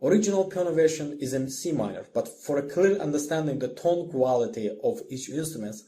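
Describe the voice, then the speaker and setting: A man who is a non-native English speaker narrates a scripted music lesson as the instructor, in a deliberate, explanatory manner. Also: medium pitch, warm tone. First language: not English.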